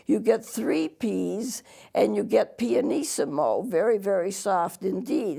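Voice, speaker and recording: Throaty, gravelly woman teaching music slowly. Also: mid-range voice, a little mellow. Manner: slow, patient explaining, spells out terms letter by letter. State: losing her voice, throaty. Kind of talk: televised music lesson. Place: small TV studio.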